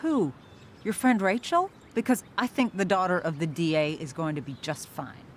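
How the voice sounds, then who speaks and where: A woman's voice: on the low side, moderate pace, lightly acted. A woman as an exasperated mother, background noise. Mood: reproachful. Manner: emotional, reproachful. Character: exasperated, pleading middle-aged mother. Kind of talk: video game cutscene acting.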